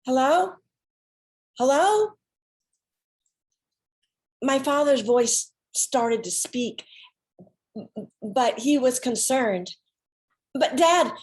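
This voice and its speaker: An American woman giving a dramatic, autobiographical one-woman reading, theatrical and deliberate with dramatic pauses. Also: a little high, darkish tone. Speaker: solo performer, storyteller.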